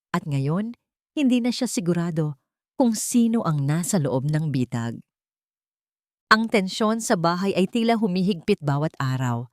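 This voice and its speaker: A woman tells a scripted drama in a fairly low voice. Her delivery moves a lot in pitch. The sound is dry, with hardly any room. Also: darkish tone, no echo. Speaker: storyteller. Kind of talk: dramatic scripted story narration.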